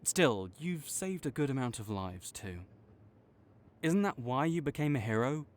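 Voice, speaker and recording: A man playing an arrogant, teasing supervillain rival with a soft spot, speaking theatrically to the listener in an audio roleplay. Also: medium pitch, animated, unhurried, close to an everyday voice. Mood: awkward, sincere. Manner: smug, teasing, theatrical with sighs. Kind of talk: dramatic roleplay monologue spoken to the listener.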